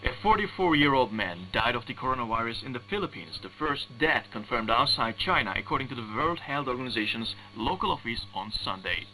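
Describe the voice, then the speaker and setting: A man reading formal scripted news as a radio newsreader, in a measured, deliberate way, over shortwave radio. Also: medium pitch, big pitch swings, pushed and bright. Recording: noisy humming shortwave radio broadcast.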